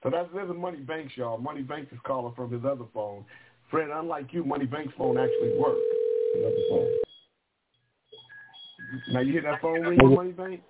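A man's voice: mid-range voice, fairly quick, pauses often. A man hosting a call-in show, teasing callers and calling on them by name in casual banter. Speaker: radio call-in host. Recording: thin phone line with faint hiss.

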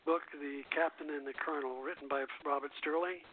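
An older man hosting a radio show, reading quotes and chatting, sometimes halting as he reads aloud. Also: a little high, fairly even intonation. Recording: muffled phone-like radio line.

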